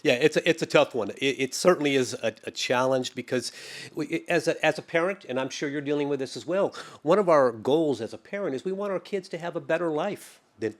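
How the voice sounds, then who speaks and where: Earnest middle-aged man, reflective guest. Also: medium pitch. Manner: earnest, reflective, with frequent restarts. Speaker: podcast guest, private lender. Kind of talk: conversational interview.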